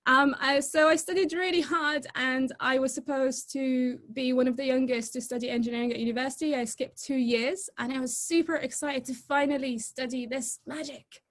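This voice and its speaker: A young woman from Egypt speaks over a video call in a bright, high voice. She talks to the audience in a lively, chatty and informal way, and laughs easily. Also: normal speed, hardly any pauses. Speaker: guest speaker. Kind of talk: presentation talk on a video call.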